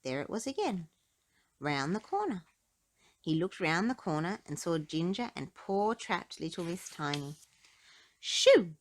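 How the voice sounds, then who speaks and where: Female storybook reader, slightly low register, highly animated delivery for young listeners. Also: unhurried. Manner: dramatic, animated delivery with emphasis. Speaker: storybook narrator. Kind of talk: expressive read-aloud children's storytelling.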